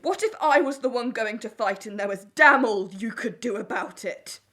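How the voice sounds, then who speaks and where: A woman's voice: on the high side, animated, normal speed, a bit bright. A woman playing a bitter, strict farm wife and mother in a wartime audio play. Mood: bitter, hurt.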